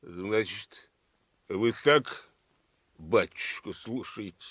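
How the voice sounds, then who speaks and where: An actor playing a stern, self-important village elder in a radio play, questioning people pompously. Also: male voice, lowish voice, very slow, fairly bright tone, husky, dry recording, heavily acted. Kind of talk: Soviet radio play acting.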